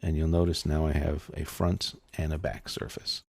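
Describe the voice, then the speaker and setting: A man giving an informal step-by-step software tutorial, explaining casually as he thinks aloud. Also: very deep voice, flat delivery, moderate pace, vocal fry, no echo, studio quality.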